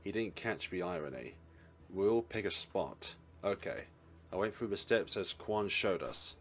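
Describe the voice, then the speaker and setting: A man's voice: low-pitched, animated, a little slow. A man hosting a let's play, mixing casual, wry commentary with reading the game text aloud.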